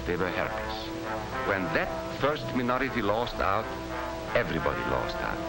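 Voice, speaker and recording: Casting: earnest 1940s propaganda narrator, slightly low voice, lively emphatic delivery, old film soundtrack. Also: male voice, poor audio. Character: earnest Hungarian American man recounting Nazi Germany. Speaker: narrator of a dramatized educational film.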